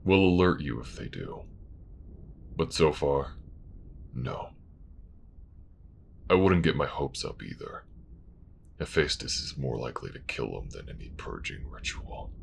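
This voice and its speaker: A man playing a stern, world-weary religious official in a fantasy audio drama, gravelly, measured and formal. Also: a bass voice, animated, a little hiss or hum, exaggerated voice. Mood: warning, formal.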